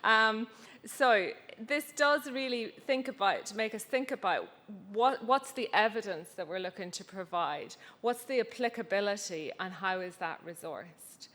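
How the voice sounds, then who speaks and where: A woman's voice: medium pitch, animated, measured pace, a bit bright. A woman researcher giving a conference talk, chatty and self-deprecating, with frequent ums. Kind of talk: conference presentation. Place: conference hall. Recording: long reverb of a conference hall.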